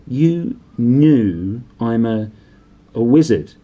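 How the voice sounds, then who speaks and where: A man's voice: mid-range voice, lively intonation, extremely slow, dark tone, muffled recording. A man voicing a bewildered, earnest young boy in a storybook, curious and hesitant. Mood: stunned. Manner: hesitant, stammering.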